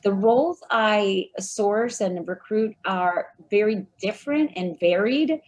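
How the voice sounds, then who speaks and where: A woman giving friendly, measured answers as a guest in an interview. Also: medium pitch. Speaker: guest, recruiting firm founder.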